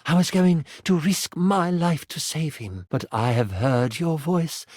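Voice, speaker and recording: A man voicing a proud, anxious queen in a fantasy game, speaking formally and with measured authority. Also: a little high, a little mellow, no room sound, studio quality. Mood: earnest, confessing. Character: proud anxious queen pleading for her child, regal and magical.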